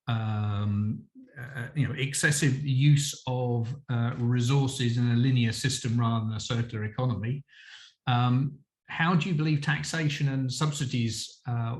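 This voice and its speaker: Male moderator, mid pitch, halting, recorded in an echoey room. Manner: halting, many ums and uhs. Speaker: panel chair. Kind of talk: moderating a panel discussion.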